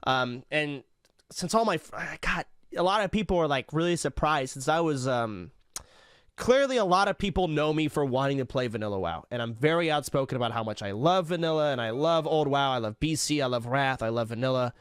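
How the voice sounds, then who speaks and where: A man's voice: mid-range voice, lively intonation, fairly quick, clean tone, dry recording, clean studio sound. A man livestreaming casual reaction commentary, rambling along in slangy, sweary language.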